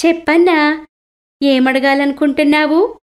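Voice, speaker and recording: Swan, animated moral tale: female performer, high, anxious, plaintive bird, never content. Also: a little slow. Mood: fearful. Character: anxious, unhappy swan in a fable.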